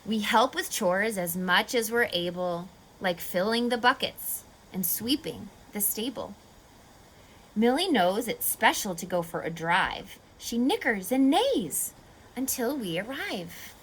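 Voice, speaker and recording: A woman reading a picture book aloud to children like a teacher, expressively, pausing to explain and ask questions. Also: medium pitch, slight background noise, rough recording. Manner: expressive, instructive, asking questions of young listeners.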